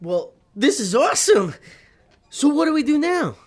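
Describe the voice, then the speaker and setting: A woman playing a good-hearted, eager and none-too-bright underling in an audio drama, with simple, unpolished speech. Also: sits high, moderate pace. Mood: eager, puzzled. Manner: simple, unpolished grammar, excitable.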